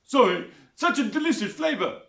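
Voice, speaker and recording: A man's voice: very high-pitched, very animated, moderate pace, vocal fry, a bit bright, voice pushed up, full character voice. A man voicing a greasy, fawning, lonely rat catcher with a smarmy manner. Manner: oily, smarmy. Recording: roomy echo.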